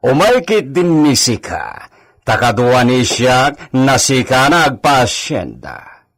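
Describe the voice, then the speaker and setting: A man's voice: mid-range voice, big pitch swings, slow pace, fairly bright tone, dry recording, clean studio sound, full character voice. A man playing a boastful, jovial hacienda don in a radio drama.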